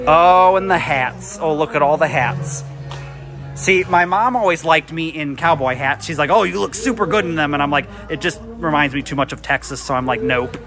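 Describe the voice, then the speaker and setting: Man, slightly high voice, chatty, easily impressed, handheld camera, store music behind. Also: moderate pace. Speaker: vlogger touring a store. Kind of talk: casual walkthrough narration. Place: large retail store indoors.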